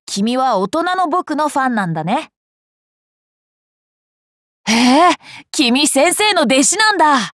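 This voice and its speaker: A woman's voice: high-pitched, sing-song, slow, long pauses, fairly bright tone, no echo, studio quality, exaggerated voice. A woman voicing a cheerful, curious boy king for an anime game, boyish and polite, with playful self-assurance. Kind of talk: Japanese anime game character lines.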